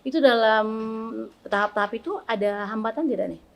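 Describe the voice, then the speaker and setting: A woman's voice: fairly high pitch, lively intonation, brisk. A woman hosting a podcast, chatting casually with guests and encouraging listeners in a friendly, filler-rich way. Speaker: podcast host. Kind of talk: casual interview chat.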